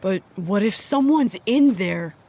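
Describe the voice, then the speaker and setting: A woman's voice: medium pitch, animated, a little slow, dark tone. A woman acting in an audio drama as an anxious, weary, earnest young traveler, hesitant and plaintive. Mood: uneasy, hopeful.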